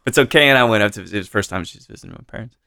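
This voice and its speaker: A man's voice: on the low side, racing, sharp tone, dry recording, clean studio sound. A man hosting a podcast, chatting casually with a sarcastic, loose manner. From Texas. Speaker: podcast host. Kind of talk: casual interview chat.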